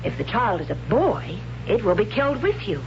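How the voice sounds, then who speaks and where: An actress playing a proud, blunt young huntress in a radio drama, matter-of-fact and puzzled by feeling. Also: female voice, medium pitch, very animated, normal speed. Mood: stern. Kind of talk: 1970s radio drama acting. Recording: noisy, dull 1970s radio broadcast with hum.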